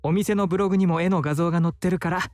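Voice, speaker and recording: A man's voice: sits high, steady tone, rapid-fire, a little mellow, no room sound, faint music behind, natural voice. An actor playing a teasing, effeminate, sociable male bar worker with breezy feminine phrasing in a Japanese visual novel.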